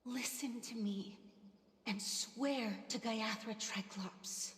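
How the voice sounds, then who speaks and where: A loving, protective older sister speaks slowly in a fairly high voice. She is tender, solemn and devout, in a video game scene with long reverb. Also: fairly bright tone. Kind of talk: scripted video game voice acting. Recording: roomy sound with long reverb.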